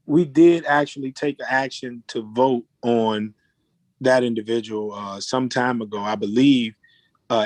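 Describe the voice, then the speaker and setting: A man chairing a formal virtual board meeting in a deliberate, procedural manner over a video call. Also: mid-range voice, no room sound.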